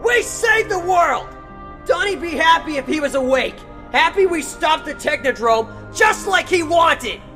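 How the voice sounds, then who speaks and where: Guy doing upset kid turtle, super high and sharp, faint music. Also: expressive, a little slow, exaggerated voice. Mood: exasperated. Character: upset, youthful teenage mutant turtle brother. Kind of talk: dramatized comic dub.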